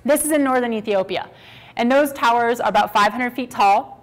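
A woman in her thirties from Minnesota, giving a lively, conversational stage talk to an audience. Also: fairly high pitch, fairly quick. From Minnesota, USA. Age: 30s. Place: small stage with brick wall backdrop.

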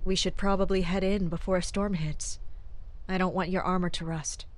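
An actress playing a dutiful woman captain of royal guards in an audio drama, measured, blunt and restrained. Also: on the low side, fairly even intonation, slight background noise, close to an everyday voice. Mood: calm. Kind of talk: audio drama acting with inner monologue.

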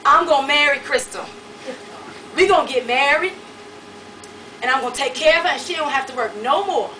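A woman's voice: high-pitched, brisk, sharp tone, a little hiss or hum. A woman voicing a volatile man who talks in a raised, insistent way, in a live spoken-word story. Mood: boastful. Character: volatile man, swinging from bluster to pleading. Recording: distant mic, roomy live venue.

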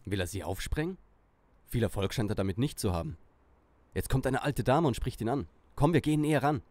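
A man's voice: medium pitch, lively intonation, brisk, darkish tone, no echo, lightly acted. Man as a bossy, smug teen leader. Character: bossy, aggressive, smug teenage boy leader.

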